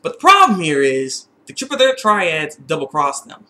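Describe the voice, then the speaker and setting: A young man giving a casual, excitable episode recap, repeating phrases for emphasis. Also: on the high side, normal speed. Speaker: TV episode reviewer. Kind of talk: casual video recap and review.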